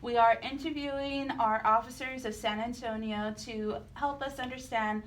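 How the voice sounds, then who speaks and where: A woman's voice: fairly high pitch, steady tone, moderate pace. A woman hosting a talk radio interview, addressing guests and listeners. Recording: clear background room noise.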